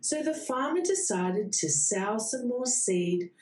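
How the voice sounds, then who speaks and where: A woman reading a bedtime storybook to young children in a sing-song way, with dramatic pauses before key words. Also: a little high, animated, darkish tone. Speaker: children's read-aloud host. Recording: roomy echo.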